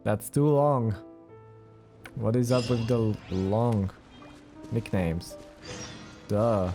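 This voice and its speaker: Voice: mid-range voice, slow, mellow, soft background music. A let's play streamer giving casual gameplay commentary in sparse, offhand reactions, often echoing phrases.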